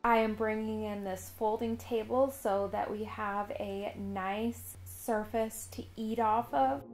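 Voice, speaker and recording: A woman's voice: mid-range voice, steady tone, takes it slowly, over music. A woman in her thirties chatting in a cheerful, friendly way while narrating a home vlog about party prep. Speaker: homemaking vlogger. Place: living room at home.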